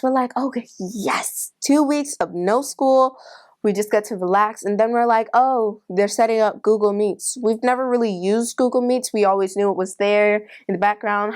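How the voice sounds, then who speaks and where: A woman shares personal memories in a casual interview. Her voice sits at an average pitch, and she talks in an informal storytelling way. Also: darkish tone.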